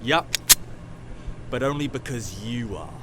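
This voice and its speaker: An actor playing a bitter, cruel older time-travelling scientist in an audio drama, intense and scornful. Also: male voice, mid-range voice, animated, a little slow, noisy background, natural voice. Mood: grim, resigned.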